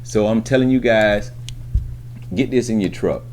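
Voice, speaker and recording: A man's voice: on the low side, measured pace, a little mellow. A man talking informally as a trucker giving advice to newcomers, emphatic and streetwise, with frequent check-ins to his listeners. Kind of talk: informal instructional monologue to camera.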